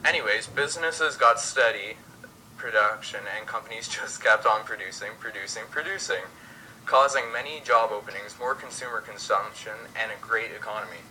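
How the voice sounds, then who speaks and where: Bright, forceful young man, lowish voice. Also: moderate pace, a little hiss or hum, low-quality recording. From Canada. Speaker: co-host of a mock radio show. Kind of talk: scripted 1920s radio show discussion by amateurs.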